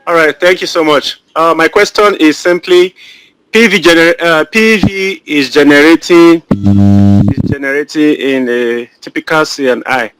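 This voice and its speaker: A man asking a question on a video call, halting and searching for words. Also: fairly high pitch, animated, clear, resonant tone, low-quality recording. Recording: loud background noise on a call.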